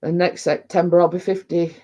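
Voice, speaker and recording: A woman's voice: lowish voice, flat delivery, brisk, warm tone. A Lancashire woman in her fifties chatting casually on a home livestream, reading out viewer comments and rambling with frequent laughs. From Lancashire, England. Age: 50s. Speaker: livestream host.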